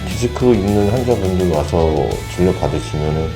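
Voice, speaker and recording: A man's voice: sits low, lively intonation, brisk, over music. A man, a former patient, earnestly sharing his experience of treatment in a loose, reflective way. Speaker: patient guest. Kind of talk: casual testimonial.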